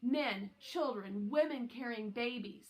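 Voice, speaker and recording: A woman's voice: fairly high pitch, slow. A woman narrating a children's novel aloud as a scripted reading. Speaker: audiobook reader.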